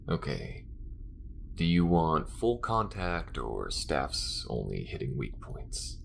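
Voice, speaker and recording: A man playing a weary, grudging but caring spaceship crewman in an audio roleplay, talking in a halting, conversational way. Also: a bass voice, gravelly, fairly bright tone, a little hiss or hum, exaggerated voice. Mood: wry, playful. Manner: halting, conversational, muttering at times. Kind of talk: intimate audio roleplay acting.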